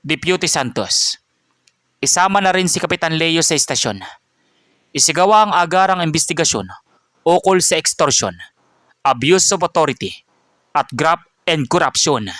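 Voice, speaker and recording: A man reads a stern police chief who speaks calm, firm and deliberate, each order weighed. The voice is fairly high for a man and sits close and dry. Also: no echo. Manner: firm, measured, serious.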